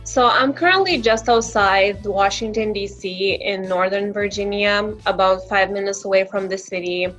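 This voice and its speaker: A young woman from Lithuania speaks English with a Lithuanian accent, her native tongue showing through. She answers interview questions earnestly and openly, at a medium pitch. The sound is dull and lo-fi, like a video call. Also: normal speed, few pauses, fairly bright tone, faint music behind. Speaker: guest.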